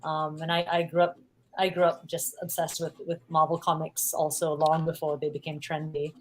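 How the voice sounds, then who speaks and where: A woman from Singapore with a light Singaporean accent, chatting as a musical guest in an interview, playful, laughing often and pausing on "um". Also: deep voice, little pitch movement, slight background noise, poor audio. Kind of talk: casual interview chat.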